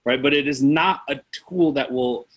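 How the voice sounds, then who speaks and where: Husky-voiced man explaining casually. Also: medium pitch, normal speed. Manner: conversational, often checks with "right?". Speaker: guest marketing expert. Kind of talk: casual explanatory chat with anecdotes. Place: indoor room on a video call.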